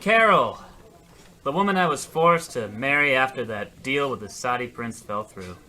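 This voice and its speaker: An actor playing a self-dramatizing, attention-hungry loser in a comic radio play, rambling and melodramatic. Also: male voice, mid-range voice, expressive, normal speed, pushed and bright, low-quality recording. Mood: hammy, eager. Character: attention-hungry, self-dramatizing male loser telling his story in a diner. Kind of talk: comic radio play acting in old radio show vein.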